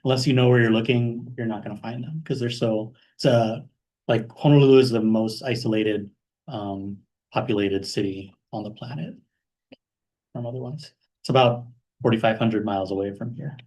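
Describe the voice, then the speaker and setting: A young man from Hawaii giving an informal talk about his career as a guest speaker, relaxed, with many fillers. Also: mid-range voice, moderate pace, low-quality recording. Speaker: guest speaker, math postdoc.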